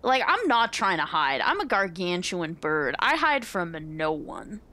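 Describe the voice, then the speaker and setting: A woman's voice: lowish voice, very animated, measured pace, sharp tone, heavily acted. A woman playing a cocky, boastful young gargantuan bird in a tabletop game, with a breezy, smug manner. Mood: imperious.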